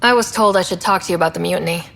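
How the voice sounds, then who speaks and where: A low, slightly gravelly Pathfinder, matter-of-fact, game sound with faint noise. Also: female voice, racing, a bit bright. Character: female Pathfinder, commanding expedition leader.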